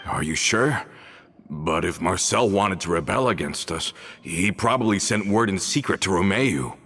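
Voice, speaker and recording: A man's voice: deep voice, animated, moderate pace, fairly bright tone, a little hiss or hum. A man voicing a loyal crew member just back home, earnest and incredulous in how he talks. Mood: indignant. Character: man returning home to his old crew, loyal and shaken. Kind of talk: video game voice acting. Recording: game audio with music.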